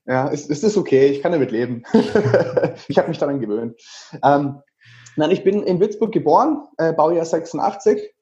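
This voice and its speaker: Thirties man, Franconian accent, mellow voice pitched a touch high, dull lo-fi sound. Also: moderate pace. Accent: Franconian German. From Lower Franconia, Germany. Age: 30s. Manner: lively, jokey, rambling with many fillers. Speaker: guest, travel speaker. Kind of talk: casual interview talk.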